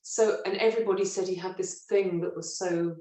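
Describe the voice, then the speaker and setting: A middle-aged woman from Britain, a stage actress telling lively, self-mocking stories in an interview and laughing often. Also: lowish voice, brisk. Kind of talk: relaxed anecdotal interview chat. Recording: roomy echo.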